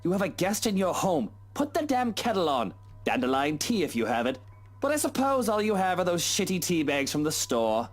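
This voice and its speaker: A brash, cynical drunk in a visual novel game. He is irritable and his pitch swings widely over soft music. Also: very animated, normal speed. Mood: demanding. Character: brash, irritable drunken man, cynical one-night stand. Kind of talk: game voice acting.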